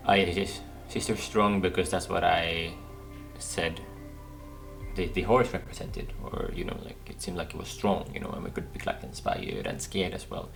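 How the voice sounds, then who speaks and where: A man's voice: deep voice, animated, moderate pace, gravelly, fairly bright tone, soft background music. A man giving casual commentary as he plays a game, musing and thinking aloud. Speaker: gaming video host.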